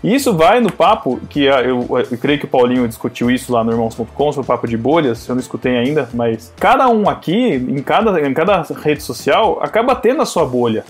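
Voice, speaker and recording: A man co-hosting a casual podcast chat, animated and rambling, often restarting his sentences. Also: mid-range voice, fairly quick, hardly any pauses, breathy edge, soft background music. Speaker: podcast co-host.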